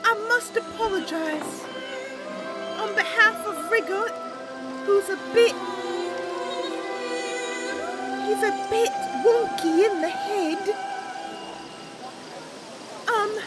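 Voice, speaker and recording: Very high, chirpy wood elf girl. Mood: apologetic. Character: small young female wood elf adventurer, chirpy and exasperated. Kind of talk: voice-acted game roleplay. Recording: loud music behind the voice.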